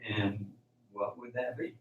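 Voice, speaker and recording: A man's voice: on the low side, fairly even intonation, measured pace, natural voice. Genial farm manager, acted by a man, distant, echoey. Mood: genial, businesslike. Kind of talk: amateur radio drama acting. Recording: dull, echoey, distant mic with hum.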